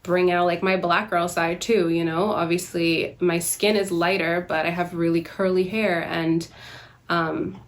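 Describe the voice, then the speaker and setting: A woman's voice: fairly low pitch, flat delivery, measured pace. A young woman from the Bahamas answering interview questions about herself in a conversational, reflective way. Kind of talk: candid personal interview.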